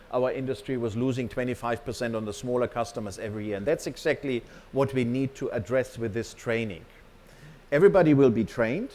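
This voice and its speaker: A man's voice: medium pitch, a little mellow. A man giving a company presentation to staff as an executive, partly off the cuff, emphatic and often checking in with his listeners.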